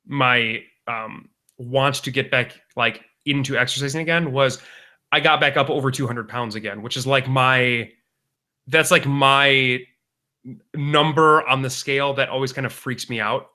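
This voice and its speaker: A man's voice: medium pitch, steady tone, measured pace, pushed and bright. A man in his thirties co-hosting a podcast, talking casually and rambling with many restarts. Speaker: podcast co-host. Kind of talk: casual chat.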